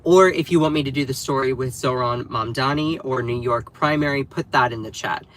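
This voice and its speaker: A man with a slightly nasal, stuffed-up voice from a cold hosts a casual political livestream, talking straight to his chat. His pitch sits in an everyday middle range. A faint hiss runs under the recording. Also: fairly quick, a bit bright. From North Carolina. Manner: chatty, addresses the live chat directly. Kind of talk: casual live commentary.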